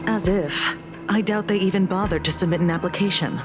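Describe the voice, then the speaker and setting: An actress playing a cool, pragmatic investigator in a video game, measured and dry. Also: female voice, lowish voice, lightly acted. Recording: music behind the voice.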